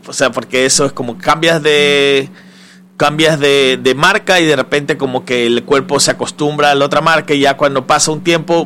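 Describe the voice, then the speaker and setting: Casual male host, middle-aged, conversational and agreement-seeking, mid-range pitch, some noise behind him. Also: a bit bright. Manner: conversational, frequently seeks agreement with tag questions. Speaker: interview host. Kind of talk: casual promotional interview.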